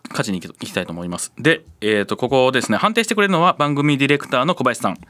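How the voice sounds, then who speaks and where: A man's voice: medium pitch, animated, racing, sharp tone. A man hosting a radio talk show, chatting with his guests.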